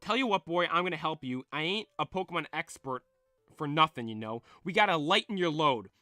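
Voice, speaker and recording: A man's voice: on the high side, animated, brisk, sharp tone, no room sound, studio quality, faint music behind. A man voicing a brash folksy helper.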